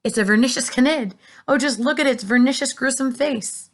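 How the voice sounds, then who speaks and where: A woman's voice: high-pitched, fairly quick, voice pushed up, natural voice. A woman voicing a nervous male police chief in a read-aloud story. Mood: alarmed.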